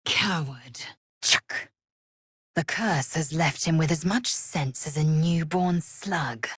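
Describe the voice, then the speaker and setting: Husky, imperious alien warrior woman. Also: sits low, takes it slowly, a bit bright, dry recording, studio quality, exaggerated voice. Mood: scornful, curt. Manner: imperious, clipped, formal, scornful. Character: proud, fierce, zealous female githyanki alien warrior. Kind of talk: video game character acting.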